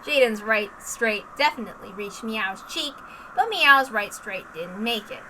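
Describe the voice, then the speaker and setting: A woman reading dramatic scripted narration in a measured, deliberate manner. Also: fairly high pitch, animated, noisy background. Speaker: narrator, reader.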